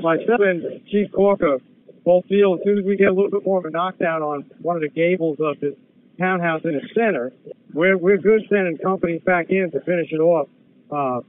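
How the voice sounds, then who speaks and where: High-pitched male fire officer on tinny radio. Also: a little fast, dry recording. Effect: two-way radio. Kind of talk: emergency radio traffic. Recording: narrow tinny radio channel with noise and clicks.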